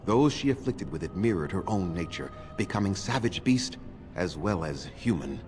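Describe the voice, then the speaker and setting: A bitter ancient elf patriarch in a fantasy game, deep-voiced and unforgiving. He speaks formally and with measured condescension, over background music. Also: big pitch swings. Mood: grave. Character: ancient bitter male elf clan leader, proud, unforgiving. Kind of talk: dramatic fantasy game acting.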